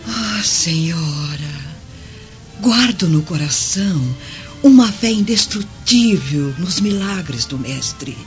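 A woman's voice: lowish voice, lively intonation, slow pace. An actress playing a devout, kind, hopeful servant woman in a fervent, reverent radio drama style. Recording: muffled radio drama with music.

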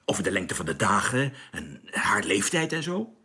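An actor playing a commanding but doubting spaceship chief in a radio drama, with a husky voice and urgent, questioning manner. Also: male voice, lowish voice, very animated, normal speed, sharp tone. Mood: puzzled.

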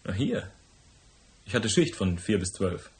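A man's voice: on the low side, big pitch swings, a little slow. A man playing a sly, obliging hotel bellboy in a noir radio drama, cheeky and casually deferential. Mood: curious, willing.

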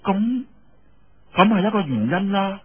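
A man voicing a proud, scornful young woman in a story, with a husky voice and indignant, drawn-out phrasing. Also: high-pitched, lively intonation, voice pushed up. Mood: thoughtful. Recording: muffled, dull lo-fi audio.

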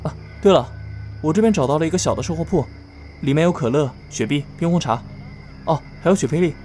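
Man acting a mellow, chatty young friend. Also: on the high side, fast talker, faint music behind, lightly acted. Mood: playful exasperation. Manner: chatty, mildly grumbling. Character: caring, chatty young male friend, easily exasperated. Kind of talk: audio drama acting.

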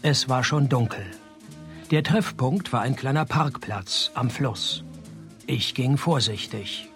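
An actor playing a laconic, cynical private detective who narrates and talks in a dry, deadpan way in a radio play. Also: male voice, mid-range voice, takes it slowly, soft background music. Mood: deadpan narrating.

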